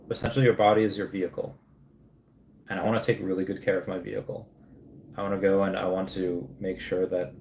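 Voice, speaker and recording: A man's voice: lowish voice, darkish tone, slight background noise. Rambling man in an echoey room. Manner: rambling, many restarts, laughs at comments. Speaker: streamer host. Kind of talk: casual livestream chat reading comments.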